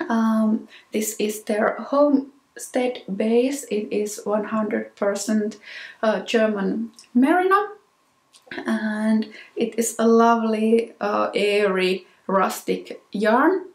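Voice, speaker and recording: Finnish-accented woman in her 30s, slow and a touch high, unhurried host talking to camera in a small echoey room. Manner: unhurried, many ums and restarts. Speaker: knitting podcast host. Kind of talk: casual solo talk to camera. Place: small room with plain wall, indoors.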